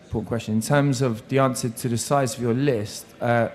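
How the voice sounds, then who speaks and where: A man's voice: mid-range voice, fairly even intonation, brisk. Earnest man talking shop on a panel. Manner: earnest, practical, a few restarts. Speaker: restaurant operator on a panel. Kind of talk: panel discussion. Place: seminar room.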